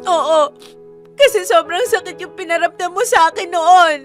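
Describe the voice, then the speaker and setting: A woman's voice: very high voice, moderate pace, soft background music. An actress playing a wounded young woman in a romantic radio drama, emotional and accusing toward the man who once rejected her. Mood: bitter.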